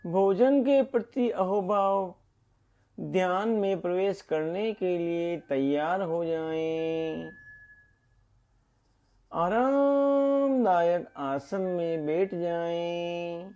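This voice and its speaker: A man leading a scripted guided meditation in a soothing, deliberate manner, with pauses and gentle repetition. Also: high-pitched, clear, resonant tone. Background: meditation music.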